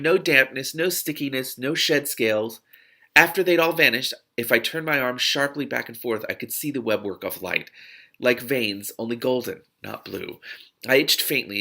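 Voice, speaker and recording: A man reads fiction aloud in an expressive, animated way. His voice is bright and forward, with a creaky edge, and he is exuberant in his commentary. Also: mid-range voice. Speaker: host reading a novel aloud with live reactions. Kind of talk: expressive book reading with excited asides.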